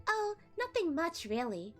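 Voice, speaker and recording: A woman voicing a dead puppet child who giggles and taunts in a high, sneering voice. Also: very animated, unhurried, soft background music, full character voice. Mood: gleeful taunting.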